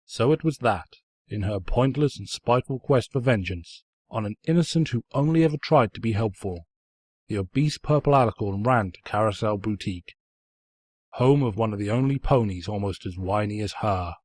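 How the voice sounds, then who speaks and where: A man's voice: on the low side, expressive, moderate pace, a little mellow, dry recording, clean studio sound. A man reading fan fiction aloud as scripted story narration, in a pompous, snide storyteller's manner.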